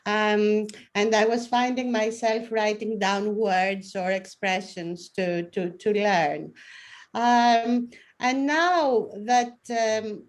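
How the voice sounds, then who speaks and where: A woman's voice: medium pitch, steady tone, takes it slowly. A middle-aged woman with a Greek accent giving candid, self-mocking advice on a video call. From Greece. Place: room at home, indoors.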